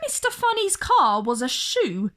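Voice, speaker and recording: A woman's voice: high voice, wildly swooping pitch, a little slow, a bit bright. A woman reading a picture book aloud for children as a storyteller, speaking directly to young listeners with dramatic emphasis. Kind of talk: expressive picture-book read-aloud for kids.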